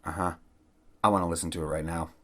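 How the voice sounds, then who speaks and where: A man's voice: deep voice, steady tone, quick pace, bright, forceful tone, slight background noise. A man acting a corrupt, calculating mayor who likes to be in control, in an audio drama. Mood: cool, calculating.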